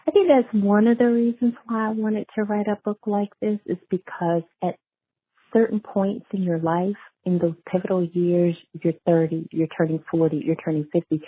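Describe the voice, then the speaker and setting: A woman's voice: mid-range voice, husky, no echo, narrow, tinny audio. A woman being interviewed as an author, talking conversationally in a reflective, emphatic and affirming way.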